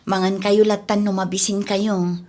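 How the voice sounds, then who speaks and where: A woman's voice: medium pitch, little pitch movement, normal speed, a little mellow, lightly acted. A woman playing a troubled, lovesick young Filipina with an Ilocano accent in an audio drama, hesitant and evasive. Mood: downcast. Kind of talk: radio-style drama acting.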